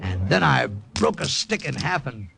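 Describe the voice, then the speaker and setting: An actor playing a brash, wisecracking American agent and magician in an old radio drama, with showy theatrical patter. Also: male voice, medium pitch, very animated, moderate pace, creaky, a crowd in the background. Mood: wry boasting. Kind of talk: 1950s radio drama acting. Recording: old radio recording with faint music.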